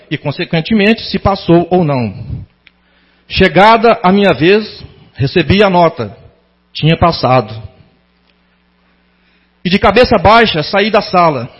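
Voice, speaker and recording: A middle-aged Brazilian man delivering a prepared public tribute in a formal, sentimental, deliberately phrased way. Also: high voice, slightly rough. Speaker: former pupil giving a tribute to a teacher. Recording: dull lo-fi sound.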